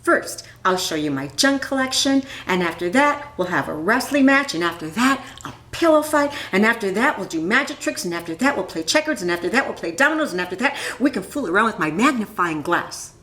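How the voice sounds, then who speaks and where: A woman voicing an eager, excitable young boy full of plans in a storybook, in a room with echo. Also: medium pitch, a little fast, few pauses. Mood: excited. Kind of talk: storybook character reading. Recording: roomy, echoing room sound.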